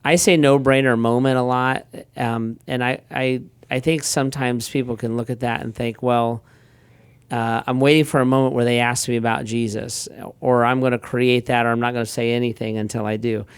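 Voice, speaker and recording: Middle-aged man, pastor, smooth resonant voice, dry close sound, easygoing storyteller. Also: mid-range voice, normal speed, no echo. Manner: relaxed storyteller, frequent restarts and quoted asides. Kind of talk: casual conversational talk.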